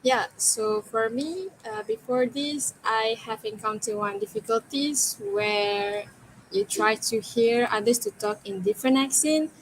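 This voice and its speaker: A woman's voice: fairly high pitch, a little slow, a little hiss or hum, low-quality recording. A woman on an online panel who speaks in a reflective, careful way with fillers, over a video call. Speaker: student panelist.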